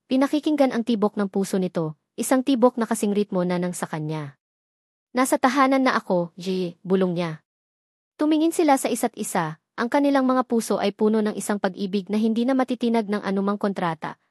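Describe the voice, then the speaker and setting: A woman narrates a romance story in a measured, dramatic manner, with a voice of middling pitch. The recording is close and dry, without any room echo. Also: a little mellow.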